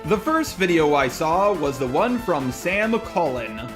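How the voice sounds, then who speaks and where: A man's voice: fairly high pitch, sing-song, unhurried, soft background music. A man hosting a video, giving scripted commentary. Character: persona of a robot. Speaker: host.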